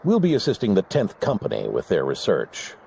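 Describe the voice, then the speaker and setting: An actor playing an older veteran knight in a game, speaking with measured, solemn storytelling gravity in a gravelly voice. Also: male voice, sits low, huge pitch swings, mellow, a little hiss or hum, exaggerated voice. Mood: encouraging. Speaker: game character.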